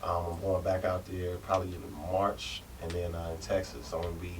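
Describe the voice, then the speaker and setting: A man's voice: low-pitched, level tone, fairly quick. A man, a rapper guest on a podcast, talking loosely and chattily about his plans. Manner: loose and chatty, with frequent "you know what I'm saying". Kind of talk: casual podcast chat. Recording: distant mic with ambient noise.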